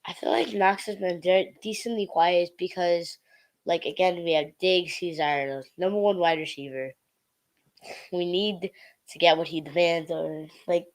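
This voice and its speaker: A female podcast co-host with a slightly low pitch for her sex. She gives casual football opinions in youthful phrasing, leaning on "like" and "definitely". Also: low-quality recording. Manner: youthful filler-heavy phrasing, repeats "like" and "definitely".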